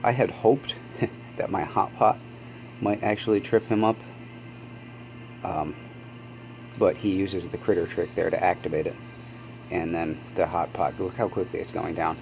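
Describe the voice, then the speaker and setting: A man's voice: low-pitched, steady tone, a little slow, creaky, pauses often, a little mellow, soft background music, poor audio. A man hosting a gaming channel, sniffly from a cold, chatting casually and rambling with many ums and apologies. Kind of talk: casual gameplay commentary.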